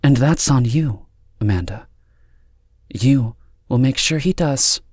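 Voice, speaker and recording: A stern woman of authority in an audiobook , acted out by a male narrator. The voice is pitched up and close in a dry recording, with a cold, clipped delivery that is impatient and condescending. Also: lively intonation, normal speed, a little mellow, no echo. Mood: cool, strict.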